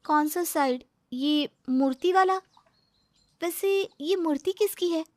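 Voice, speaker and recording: Young daughter-in-law, played by a woman, high-pitched, courteous yet exasperated, pleading; comic domestic story, dry recording. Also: slow, a little mellow, no echo. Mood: polite. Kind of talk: dramatized comic family story.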